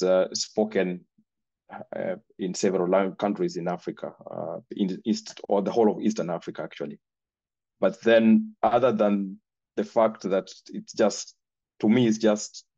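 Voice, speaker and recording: A man's voice: deep voice, mellow, no room sound. A man whose first language is Swahili, answering as a parent on an online panel, hesitant with many restarts. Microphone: video call. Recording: dull lo-fi call audio.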